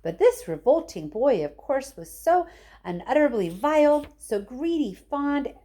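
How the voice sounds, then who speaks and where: Woman as tiny mocking chanters, sing-song and lively. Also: high voice, unhurried, voice pushed up. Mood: scornful. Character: chorus of tiny, mocking, moralizing factory workers.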